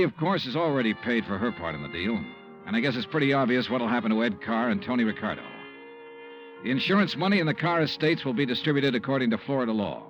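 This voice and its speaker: An actor with an American accent playing a wry, tough insurance investigator in a 1950s radio drama. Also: male voice, mid-range voice, a bit bright. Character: wry, tough male insurance investigator narrating his case. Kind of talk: 1950s radio noir acting, first-person narration. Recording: muffled vintage radio recording, music behind.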